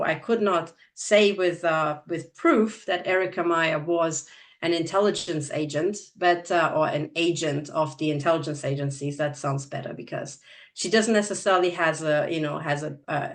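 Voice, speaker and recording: A woman's voice: low-pitched, distant mic. Earnest woman, native Hungarian speaker. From Transylvania, Romania. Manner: earnest, many fillers and restarts. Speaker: channel co-host. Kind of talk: conversational video chat.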